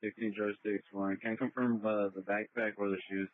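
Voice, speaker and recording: A male police officer gives terse replies over the radio. His voice is on the low side and comes through a thin, narrowband scanner channel. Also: level tone, moderate pace.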